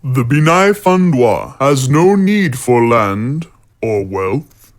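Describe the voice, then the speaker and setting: An actor playing a middle-aged warrior-monk villain in a fantasy audio drama, calm, persuasive and condescending as he preaches. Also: medium pitch, slow pace. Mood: smooth, persuasive.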